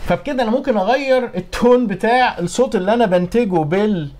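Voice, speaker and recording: An Egyptian man in middle age teaches audio production in a casual tutorial style. His voice sits a little high and he talks very quickly, recorded in a small home studio. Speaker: audio engineering instructor.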